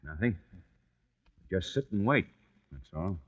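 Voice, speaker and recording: An actor playing a gruff, bossy but reassuring trapped man in an old-time radio thriller. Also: deep voice, big pitch swings, a little slow, full character voice. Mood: calming, grim. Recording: muffled vintage radio recording.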